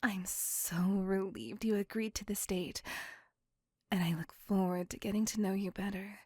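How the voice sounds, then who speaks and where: A woman playing a courteous, doting, possessive vampire in a hushed, breathy ASMR roleplay, speaking gently in formal, courtly phrases. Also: medium pitch, fairly even intonation, a little slow, dry recording, clean studio sound. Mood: tender, charmed. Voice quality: breathy, hushed near-whisper.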